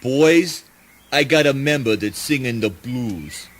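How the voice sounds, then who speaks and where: A man's voice: medium pitch, animated, slow, crowd noise, background noise. A man playing a swaggering, foul-mouthed gang boss in a spoken word play, talking in a slangy, taunting drawl. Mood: gloating, threatening.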